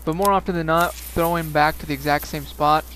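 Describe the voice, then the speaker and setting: A young man giving calm, matter-of-fact fishing tips as a voice-over narrator. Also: a little high, a little fast, slight background noise. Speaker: fishing video host.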